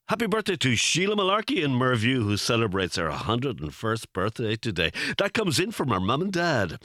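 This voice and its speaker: An actor playing a chirpy local radio DJ reading out listener requests in a comedy radio play. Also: male voice, mid-range voice, sharp tone, no room sound, clean studio sound.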